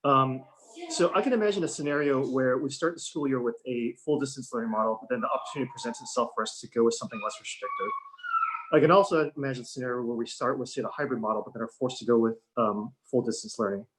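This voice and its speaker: Man with a lively voice asking a structured question. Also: medium pitch, fast, background noise. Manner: organized, lays out scenarios. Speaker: caller. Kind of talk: asking a question at a town hall.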